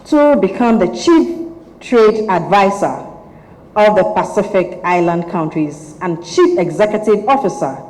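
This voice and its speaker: A woman reading a formal introduction of a guest speaker at a lecture, pausing at times to check a name. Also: medium pitch, lively intonation, darkish tone. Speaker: event moderator. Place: lecture hall. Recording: long hall reverb.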